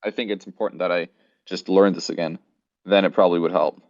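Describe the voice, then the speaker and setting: Low-voiced young guy, dry wry humor, dead-dry muffled lo-fi mic. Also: fairly even intonation, quick pace, dry recording. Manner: wry, joking asides. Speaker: podcast co-host, student. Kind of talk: casual chat.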